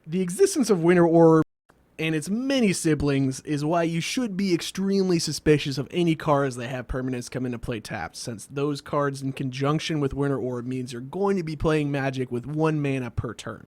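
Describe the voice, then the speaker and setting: A man's voice: fairly high pitch, normal speed, a little mellow. A man hosting a scripted comedic explainer with a wry, sardonic delivery, recorded as a close voice-over. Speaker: host of a card game video series.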